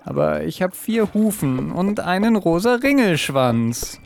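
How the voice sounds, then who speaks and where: Animated man in a children's audio play. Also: high-pitched, unhurried, gravelly. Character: himself turned into a reluctant pink pig. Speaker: podcast co-host.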